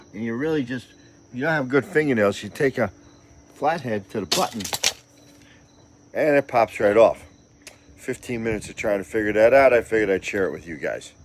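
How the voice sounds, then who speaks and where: A man presenting a casual installation how-to, plain-spoken and chatty as he explains each step. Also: lowish voice. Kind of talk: casual hands-on tutorial narration. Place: outdoors at a gate. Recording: outdoor noise with insects chirping.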